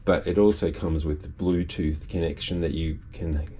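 An older man, casually explaining a project aboard his boat in an unhurried, halting way. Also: very deep voice, fairly even intonation, dark tone, highs cut off, a little hiss or hum. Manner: unhurried, halting with restarts and fillers. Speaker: boat owner and video maker. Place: cabin and engine room of a sailing catamaran.

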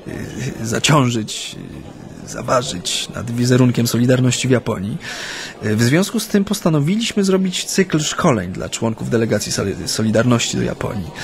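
A man's voice: mid-range voice, close to an everyday voice. Radio play role for a male actor: chatty Japanese man gone Polish, middle register, natural and hesitant delivery. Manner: hesitant, halting, with many hems and repetitions. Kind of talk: naturalistic radio drama dialogue.

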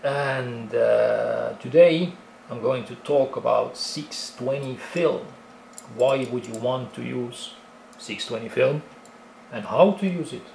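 A man's voice: medium pitch, slow, gravelly, a little mellow, roomy sound, a little hiss or hum. A man with an Estonian accent casually explaining film cameras in a tutorial, with frequent fillers and restarts. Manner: talks with many fillers and restarts, explaining step by step. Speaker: film photography hobbyist presenter.

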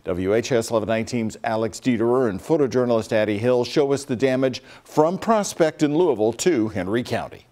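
An older man reading the evening news from a studio desk in a steady, formal delivery. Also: medium pitch, fairly quick, few pauses. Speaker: news anchor.

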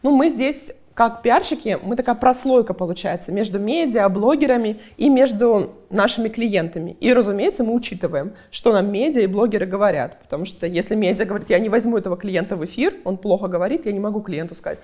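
A woman talks at a rapid clip as a guest PR expert, piling up client stories and examples. Her pitch sits in an ordinary middle range. She speaks with brisk, chatty confidence. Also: dark tone. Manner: brisk, talkative, explains with examples. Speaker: PR agency head, guest expert. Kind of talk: interview answers with client anecdotes.

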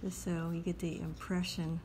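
A woman calmly talking through a step-by-step drawing lesson as she sketches at a table. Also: fairly low pitch, a little hiss or hum. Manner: calm, unhurried, talks while drawing. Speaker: drawing instructor. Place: at a table indoors.

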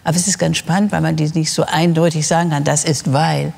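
Older woman, expert guest, measured explanations, gravelly voice, reverberant studio. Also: low-pitched, little pitch movement, darkish tone. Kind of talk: explanatory discussion. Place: large TV studio.

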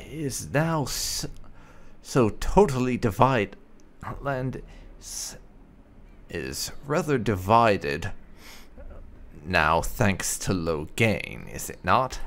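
A man giving casual roleplay game commentary in a musing, wry, drawn-out manner. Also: fairly low pitch, big pitch swings, fairly bright tone. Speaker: gamer doing a roleplay playthrough.

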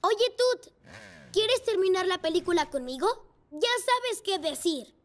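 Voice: extremely high pitch, very animated, a little slow, slight background noise, exaggerated voice. An actor voicing an eager, brave and friendly young boy rescue motorcycle in a children's cartoon, earnest and enthusiastic. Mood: friendly, confident. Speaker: cartoon lead character. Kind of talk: animated children's cartoon dubbing.

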